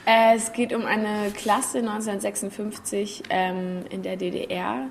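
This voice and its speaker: A woman in her twenties from Berlin with a Berlin accent, an actress chatting casually as an interview guest, hesitant, often trailing off softly. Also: mid-range voice, little pitch movement, a little slow, vocal fry, slight background noise. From Germany. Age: 20s.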